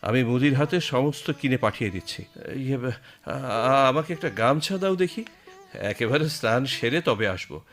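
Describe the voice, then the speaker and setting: An actor playing an earnest young village husband and devoted son in a radio drama, emotional and hesitant. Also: male voice, medium pitch, expressive, moderate pace, a bit bright, close to an everyday voice. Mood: hesitant, reassuring. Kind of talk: Bengali radio drama acting. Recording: music behind the voice.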